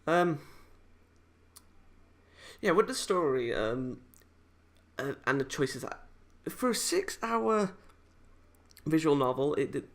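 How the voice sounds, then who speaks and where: A man giving a casual, unscripted review in a halting, hesitant, rambling way, often correcting himself as he goes. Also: fairly high pitch, big pitch swings. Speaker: amateur video game reviewer.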